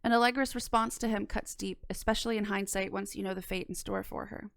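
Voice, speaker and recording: A young woman delivers a conversational video essay into a close mic in a dry, intimate room. Her voice is clear and resonant, often settling into creaky fry. She is measured, with casual deadpan asides. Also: medium pitch, no room sound. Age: young adult. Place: small home studio room. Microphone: close microphone on boom arm.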